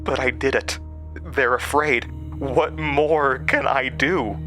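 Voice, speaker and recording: A man's voice: medium pitch, fairly even intonation, takes it slowly, pushed and bright, faint music behind, pitched above the performer's own voice. A man voicing a cornered, frightened young woman who pleads and falters in a horror audio drama. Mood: pleading.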